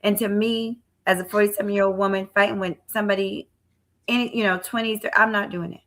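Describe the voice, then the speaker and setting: Bright-voiced chatty woman in her forties. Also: mid-range voice, fairly even intonation, a little fast. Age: 40s. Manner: chatty, frequent restarts and repeated words. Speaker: live-stream show host. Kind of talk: casual chat on a live stream.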